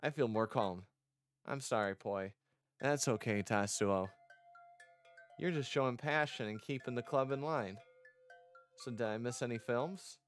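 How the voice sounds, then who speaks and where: A man's voice: medium pitch, measured pace, pauses often. A man reading visual novel dialogue aloud as a streamer, acting out the lines and now and then stumbling over words. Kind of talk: dramatic read-aloud of game dialogue. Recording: music behind the voice. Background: music.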